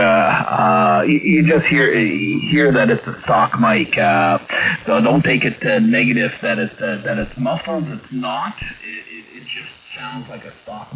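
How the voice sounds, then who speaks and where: A man chatting casually as an amateur radio operator, speaking haltingly with drawn-out pauses, heard over a radio link. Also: mid-range voice. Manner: halting, hesitant delivery with many drawn-out pauses. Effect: received over shortwave radio. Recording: narrow-band radio signal, faint static.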